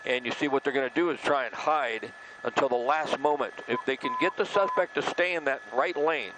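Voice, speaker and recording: An American man reports live from the air with clear vehicle noise around him. His mid-range voice gives conversational breaking-news commentary. He explains what is unfolding step by step, like a working field reporter. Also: medium pitch, brisk. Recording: noisy outdoor vehicle feed.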